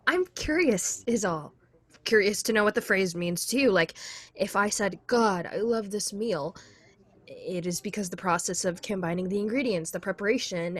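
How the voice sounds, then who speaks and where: Woman playing persistent young girlfriend, husky voice, dry, probing, argumentative, contemporary radio play. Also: mid-range voice, a bit bright, natural voice. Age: young adult. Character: skeptical, persistent young woman questioning her boyfriend. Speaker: student voice actor. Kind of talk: contemporary radio drama dialogue.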